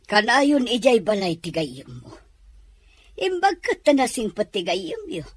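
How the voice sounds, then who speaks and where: A woman playing a weary, disapproving mother in a drama, with a husky voice. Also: fairly high pitch, sing-song, unhurried. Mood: weary reproach. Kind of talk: Ilocano drama acting.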